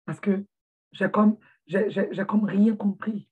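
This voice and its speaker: A woman speaking straight to her viewers in an earnest, encouraging vlog. Her voice is husky and a little breathy. It sounds tinny and narrow, like a phone recording. She often stops and repeats a word before she finds the next one. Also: medium pitch, steady tone, slow pace. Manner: earnest, repeats words while searching for them. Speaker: motivational vlogger for immigrants. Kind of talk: heartfelt motivational talk to camera.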